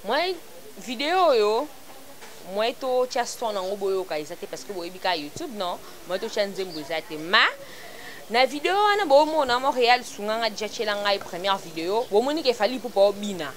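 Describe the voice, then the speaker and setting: A woman's voice: medium pitch, animated, normal speed, a little hiss or hum. A woman from Congo, hosting an online celebrity news show in a chatty, casual commentary style. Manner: chatty, mixing French and Lingala freely.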